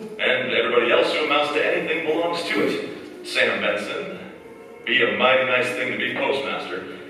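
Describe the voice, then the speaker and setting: A man's voice: mid-range voice, moderate pace, pushed and bright, close to an everyday voice. A man playing a probing second-person narrator, like an inner conscience, in a staged 1940s-style radio drama. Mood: probing, dramatic. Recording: echoey hall, far mic, faint noise.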